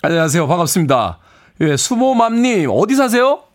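A man hosting a radio show, presenting and chatting with callers in a lively way. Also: high voice, a little fast. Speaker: radio host.

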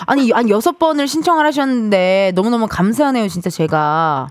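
A woman in her thirties hosting a casual radio show in a studio, chatting with listeners in a playful, teasing, animated manner. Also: fairly high pitch, a little fast, dry recording. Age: 30s. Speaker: radio host. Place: broadcast studio.

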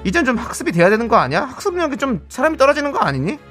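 A man's voice: extremely high pitch, big pitch swings, rapid-fire, fairly bright tone, faint music behind, voice pushed up, full character voice. A man playing a gossipy woman friend, easily offended and fed up, in a comic radio skit with sighing, dramatic delivery. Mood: gossipy sympathy.

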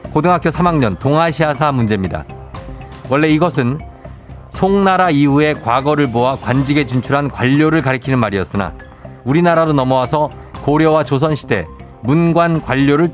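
A man's voice: on the high side, expressive, normal speed, soft background music. A man in his forties hosting a radio quiz in a playful, encouraging way, reading out listener messages. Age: 40s. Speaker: radio quiz show host. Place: radio studio.

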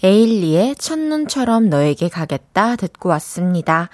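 A woman's voice: mid-range voice, sing-song, moderate pace, no room sound, clean studio sound. A young woman hosting a radio show, reading listener messages and chatting about them. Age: young adult. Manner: chatty, warm reactions to listener texts. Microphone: studio mic.

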